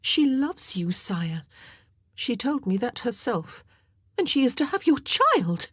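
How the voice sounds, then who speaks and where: A woman's voice: high-pitched, unhurried, dark tone, breathy edge, no echo, studio quality, voice pushed up. A woman voicing a proud, distraught young queen who pleads and cries out in indignation. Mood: pleading.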